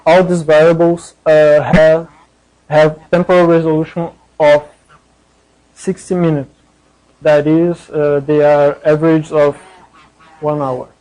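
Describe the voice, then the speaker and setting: A man giving a scientific presentation in a hesitant, halting way. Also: a little high, little pitch movement, mellow, rough recording. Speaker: conference presenter.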